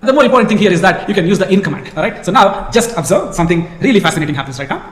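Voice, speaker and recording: A man giving a recorded course lecture, lively and full of rhetorical questions. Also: high voice, racing, slight background noise. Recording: echoey lecture hall with long reverb.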